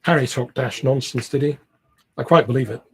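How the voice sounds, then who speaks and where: A man reading aloud the part of a genial, shrewd upper-class government gentleman from a classic novel. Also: mid-range voice, brisk, breathy edge. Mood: frank, opinionated.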